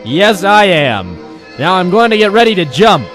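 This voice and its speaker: An actor playing a bold, foolhardy daredevil in a comic old-time radio skit. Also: male voice, sits high, very animated, normal speed, over music. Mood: cocky.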